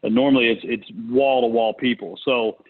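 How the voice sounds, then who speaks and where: A man talks through a thin, phone-like connection as host of a business call. His delivery is casual and unscripted. He pauses to think as he goes. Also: medium pitch, fairly even intonation. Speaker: conference call host, real estate investor. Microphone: conference call connection. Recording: muffled, narrow phone-line sound.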